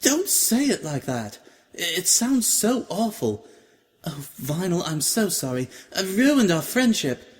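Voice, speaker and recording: A man's voice: high voice, very animated, in a large hall, voice pushed up, heavily acted. Man doing a stammering, flustered young mare. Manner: stammering, halting. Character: shy flustered young mare musician, apologetic.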